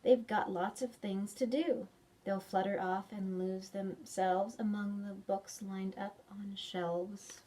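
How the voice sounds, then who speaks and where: A woman's voice: mid-range voice, steady tone, takes it slowly, dark tone, a little hiss or hum. A woman hosting a children's storytime, reading picture books expressively and chatting to young children with questions. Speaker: children's librarian storytime host. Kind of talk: animated picture-book reading and chat for preschoolers.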